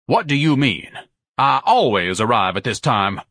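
Pompous big man, ornate declamation, easily panicked, husky, very animated, dry audiobook sound. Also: mid-range voice, unhurried, dry recording, full character voice. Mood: indignant, suspicious. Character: pompous, bellowing, paranoid large man, grandiose and easily panicked.

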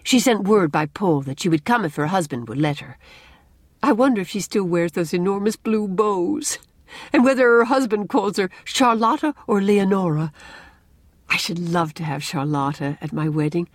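A woman voicing a cheerful, romantic young bride-to-be in an audiobook, expressive and lyrical with a teasing touch. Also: lowish voice, moderate pace, dry recording, voice pushed up. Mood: chatty. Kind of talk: audiobook character dialogue.

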